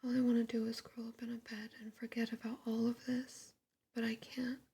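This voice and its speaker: A woman whispering the lines of a distraught, frightened young woman influencer, in a breathy voice and a rushed, pleading manner. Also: fairly high pitch, flat delivery, warm tone. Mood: desperate.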